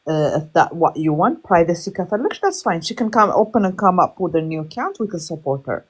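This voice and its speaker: A woman's voice: fairly low pitch, very animated, very fast, slightly rough. A woman with an Ethiopian accent chatting casually, switching back and forth between two languages. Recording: echoey room sound.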